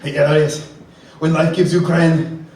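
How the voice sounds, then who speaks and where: Male actor, cocky commando hero; higher-pitched, declamatory puns, distant echoey stage sound. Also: level tone, a little slow, a little mellow, slight background noise, close to an everyday voice. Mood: brash, punning. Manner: punning, declamatory one-liners. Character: brash commando action hero spitting corny puns. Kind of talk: comic stage radio play. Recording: echoey hall from far off, music behind.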